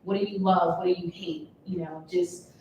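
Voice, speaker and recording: A woman's voice: on the low side, fairly even intonation, normal speed, warm tone, dull audio. A woman founder explaining things in a practical, casual way on a panel. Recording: echoey room from far off, faint noise.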